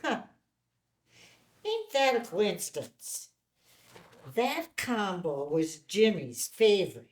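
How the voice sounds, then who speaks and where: A woman's voice: mid-range voice, very animated. A woman playing a kindly, folksy small-town diner owner and mother in a film script table read. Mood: wistful. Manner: folksy, unhurried.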